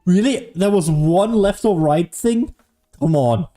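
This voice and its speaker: Game streamer, casual commentary, playful sarcasm, soft mellow tone, light background music. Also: mid-range voice, expressive, slow.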